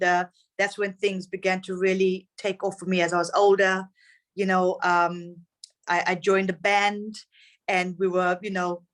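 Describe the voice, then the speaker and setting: Guest: middle-aged woman from Zimbabwe, singer, chatty and reflective storyteller. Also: medium pitch. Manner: warm, reflective storytelling with laughs. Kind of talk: casual interview chat.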